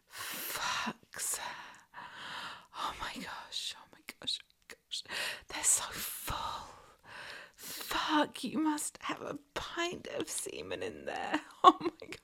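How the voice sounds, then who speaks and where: Woman acting as a flirty fawning masseuse, super slow breathy half-whisper, kinda creaky, erotic asmr roleplay. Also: a little high, fairly bright tone, exaggerated voice. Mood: awed, aroused. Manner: slow, soothing, sultry delivery. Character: flirtatious, fawning adult masseuse doting on a client.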